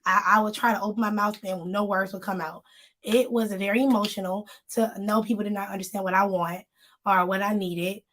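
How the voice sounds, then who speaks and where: Woman co-host, casual live chat, a bit hoarse, speaks right to the viewers. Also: mid-range voice, fairly even intonation, a little fast, fairly bright tone, a little hiss or hum. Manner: chatty, addresses viewers directly. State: slightly hoarse, voice still recovering. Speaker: magazine co-host.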